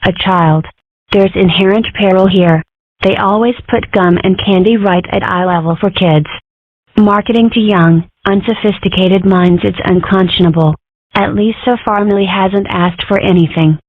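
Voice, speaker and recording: A woman's voice: medium pitch, fairly even intonation, moderate pace, no room sound, natural voice. A woman voicing an exasperated, pedantic lawyer mother in a cartoon comic dub. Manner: pedantic, lamenting. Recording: tinny, phone-like audio.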